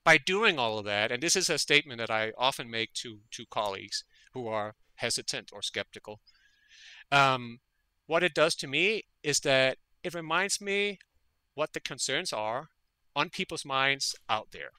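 A man's voice: medium pitch, lively intonation, moderate pace, pushed and bright, clear, resonant tone, no room sound, low-quality recording. A man from Denmark, a veterinary parasitologist, speaking as a guest in an interview. He talks earnestly and often repeats words as he gathers his thoughts. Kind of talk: conversational interview.

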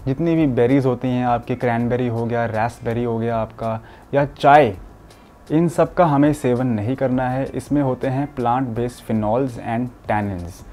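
A man's voice: mid-range voice, measured pace, dark tone, a little hiss or hum. A young man, a doctor, explaining health advice to camera in an earnest, explanatory way, mixing English medical terms into his talk. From India. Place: furnished studio room with curtains, indoors.

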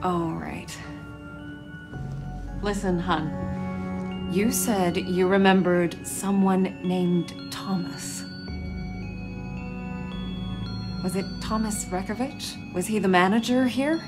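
A woman playing a calm, caring, wry investigator who senses spirits, in measured video game dialogue and narration. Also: mid-range voice, over music. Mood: gentle, coaxing. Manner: measured, musing to herself.

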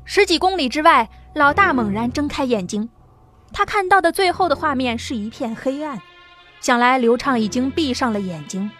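A woman reading a science fiction novel aloud as an audiobook narrator, in a steady, vivid storytelling manner. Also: high-pitched, normal speed, faint music behind.

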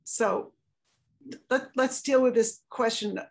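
A woman's voice: fairly high pitch, slow, vocal fry. An older woman moderating an online panel from her home office, posing questions to the panelists. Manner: inquisitive, poses questions to panelists. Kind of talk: panel discussion on a video call. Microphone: webcam on a video call.

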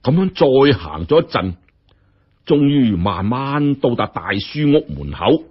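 A man's voice: medium pitch, sing-song, unhurried, slightly rough, highs cut off. A man with a Hong Kong Cantonese accent narrating a story in a colloquial, theatrical, exclamatory way. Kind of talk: animated scripted story narration.

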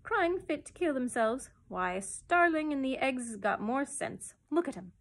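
A woman's voice: fairly high pitch, lively intonation, normal speed. A woman voicing a rude, mocking starling with colloquial speech in a children's storybook. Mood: jeering. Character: cheeky jeering male starling, rude and mocking.

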